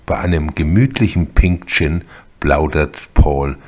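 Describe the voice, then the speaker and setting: A man's voice: extremely low pitch, a little slow, darkish tone. A man reading scripted narration that sets the scenes of a radio play.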